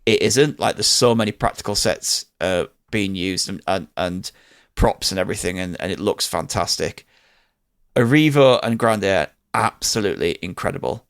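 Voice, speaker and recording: A man's voice: deep voice, normal speed, vocal fry, a bit bright, clean tone, dry recording, studio quality. A man with a British accent giving an enthusiastic, informal film review in casual conversation. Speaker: podcast host reviewing films.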